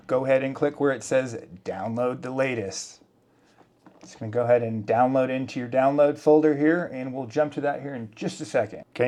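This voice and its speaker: A man's voice: medium pitch, darkish tone. Casual American man walking through instructions. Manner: informal, unscripted, instructional. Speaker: tutorial presenter. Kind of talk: casual step-by-step software tutorial.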